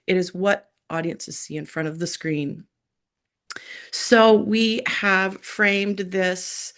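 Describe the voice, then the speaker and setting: A middle-aged woman with a hoarse voice, moderating an online roundtable on a video call in a brisk, upbeat way with frequent filler uhs. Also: mid-range voice, a bit bright.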